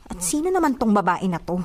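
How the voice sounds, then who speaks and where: A woman's voice: mid-range voice, noisy background. A Filipino-accented woman playing a jealous, easily offended young girlfriend in a radio drama, with a confrontational, sarcastic manner. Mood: suspicious. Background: background music.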